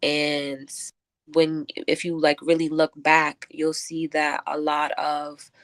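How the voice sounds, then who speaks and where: A woman answering radio interview questions as a guest poet, thoughtful and halting, often filling with "like". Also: deep voice, completely flat delivery, a bit bright, no room sound. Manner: thoughtful, halting, many filler likes. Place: close-miked radio show.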